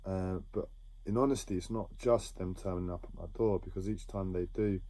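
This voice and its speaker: A man talking slowly and haltingly about his own experiences in a reflective monologue, with many pauses and restarts. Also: sits low, steady tone, dark tone. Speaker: livestreamer sharing a personal story. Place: home.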